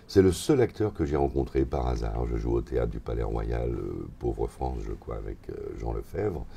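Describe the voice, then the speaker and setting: A man's voice: very deep voice, normal speed, vocal fry, slightly rough. An older man in his seventies, a voice actor, telling stories relaxedly in an interview, recorded with a lapel mic in a hotel lounge. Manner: relaxed storyteller, gestures while talking. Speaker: voice actor, guest. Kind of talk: interview conversation.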